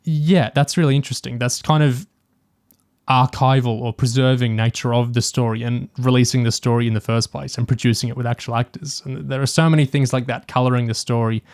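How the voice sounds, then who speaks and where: A man's voice: medium pitch, fairly even intonation, brisk, a little mellow. A man co-hosting a podcast, talking casually and analytically with a fan's enthusiasm. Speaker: podcast co-host. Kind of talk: casual discussion of audio drama and TV.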